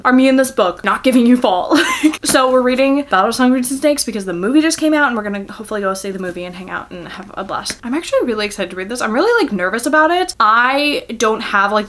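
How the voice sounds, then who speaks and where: A woman's voice: mid-range voice, a bit bright. A young adult woman chatting casually to viewers as a book vlogger, gushing and giggly, with lots of filler words. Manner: gushing, informal, filler-heavy with frequent "like".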